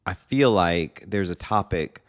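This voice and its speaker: Deep-voiced middle-aged podcast co-host. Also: male voice, measured pace. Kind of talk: casual chat.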